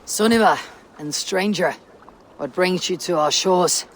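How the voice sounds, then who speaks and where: Composed warrior woman for a game, measured authority, faint noise behind. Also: lowish voice, low-quality recording. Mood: formal. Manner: measured, commanding, dry. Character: firm, composed Viking warrior woman, clan leader.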